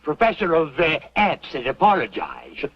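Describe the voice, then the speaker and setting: A man playing a jolly, folksy sidekick in an old western drama, with a raspy voice and a chuckling manner. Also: a little high, wildly swooping pitch, normal speed, heavily acted. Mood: jovial, joking. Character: jolly folksy old-West sidekick, Dobie, a bone hunter's helper. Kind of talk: old-time western anthology drama acting. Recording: muffled vintage TV soundtrack.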